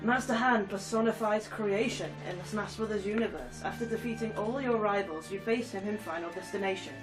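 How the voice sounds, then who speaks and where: A woman's voice: mid-range voice, a little fast, distant mic, music in the background, poor audio. A woman with a cold and a stuffy nose, chatting casually and giggling as she reads game trophy descriptions aloud. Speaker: gaming YouTuber.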